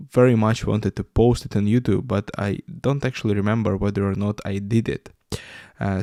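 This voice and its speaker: Guy in his 20s, kinda low gravelly voice, dry close sound, rambly podcast chat. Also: warm tone, dry recording. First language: Russian. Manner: rambling, frequent fillers and restarts. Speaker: podcast host. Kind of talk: casual solo podcast monologue.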